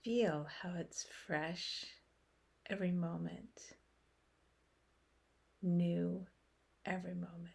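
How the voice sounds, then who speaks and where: A woman leading a guided meditation in a soothing, unhurried way, with long pauses between short phrases. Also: fairly low pitch, fairly even intonation, creaky, darkish tone, breathy edge.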